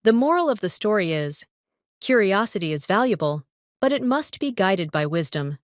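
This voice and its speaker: A woman's voice: mid-range voice, sing-song, normal speed, dry recording. Dry-sounding woman, scripted narration. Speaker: narrator giving the moral.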